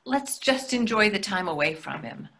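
A woman's voice: mid-range voice, big pitch swings, normal speed, background noise. A woman in her sixties playing a placating, dryly chiding wife in a readers theatre play. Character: placating, gently needling middle-aged wife. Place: plain room indoors on a video call.

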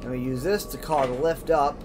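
A man's voice: steady tone, normal speed, loud music behind the voice. A man doing casual let's play commentary, thinking aloud to himself in short, informal phrases. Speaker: gamer, let's play host. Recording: noisy, with game ambience and rumble. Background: horror game ambience and machinery rumble.

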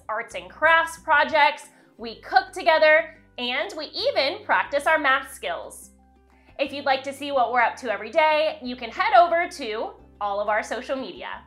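A woman in her thirties, a children's host reading a picture book aloud with expressive storytelling delivery. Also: sits high. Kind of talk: storybook read-aloud with asides to young viewers.

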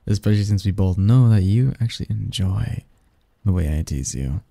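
A man playing a possessive, teasing sleep demon lover in an intimate ASMR roleplay, speaking softly in formal, flirtatious phrases. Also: low voice, animated, normal speed, gravelly, no room sound, heavily acted. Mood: teasing, seductive. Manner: flirtatious, formal wording, soft intimate delivery, chuckling.

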